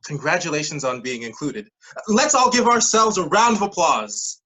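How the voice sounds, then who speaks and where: An actor in a staged play reading playing a grandiose pilot who leads a scheme with ceremonious, motivational speech and a husky voice. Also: medium pitch, big pitch swings, moderate pace, sharp tone, slight background noise, rough recording. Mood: exhilarated. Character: Peter, grandiose pilot leading a pyramid scheme.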